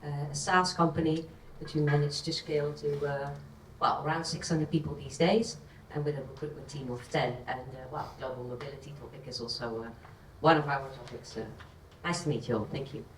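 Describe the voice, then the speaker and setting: A woman's voice: low-pitched, steady tone, fairly quick, a little hiss or hum. A woman with a Dutch accent speaking on a conference panel, hesitant with frequent fillers. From Netherlands. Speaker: panelist, talent acquisition director. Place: conference stage. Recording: echoey hall, distant mic.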